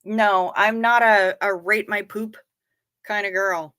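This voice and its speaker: A woman's voice: medium pitch, fairly even intonation, takes it slowly, sharp tone, clean tone, no room sound, studio quality. A woman in her fifties hosting a casual livestream, reading chat comments aloud in a chatty, wry way with casual swearing. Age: 50s. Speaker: livestream host.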